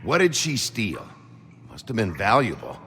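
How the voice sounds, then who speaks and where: An actor playing a gruff, impatient detective in a video game, questioning bluntly and with menace. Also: male voice, fairly low pitch, huge pitch swings, a little slow, sharp tone, exaggerated voice. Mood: probing. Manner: terse, blunt interrogating. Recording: long reverb, music underneath.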